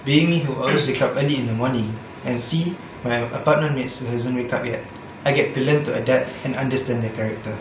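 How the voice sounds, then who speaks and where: A young man, a student, reading his scripted reflection in a steady, earnest way. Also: medium pitch, quick pace, noisy background. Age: young adult. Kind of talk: scripted reflection read aloud. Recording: roomy echo with background music.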